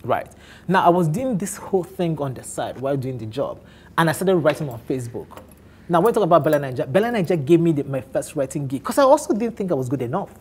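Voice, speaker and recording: A man in his thirties from Nigeria with an Igbo accent, telling his life story candidly on a talk show, animated and often laughing. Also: on the high side, fast talker, slightly rough. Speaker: guest on a men's talk show.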